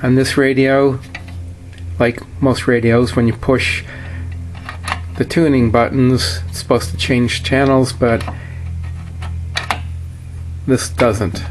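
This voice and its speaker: Slow, even-toned man giving a casual walkthrough. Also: medium pitch, faint music behind. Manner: plainspoken, matter-of-fact. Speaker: seller demonstrating a vintage radio.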